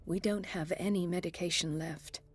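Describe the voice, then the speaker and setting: An actress playing a composed, caring and determined hospital doctor in a video game, with a measured clinical delivery. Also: female voice, lowish voice, fairly even intonation, husky. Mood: concerned. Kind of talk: video game cutscene acting.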